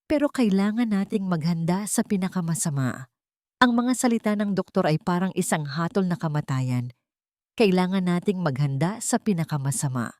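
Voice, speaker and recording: A woman with a fairly low voice narrates a dramatic story. She phrases each line deliberately and pauses briefly for effect. The recording is dry and close, with very little room sound. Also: animated, darkish tone, dry recording.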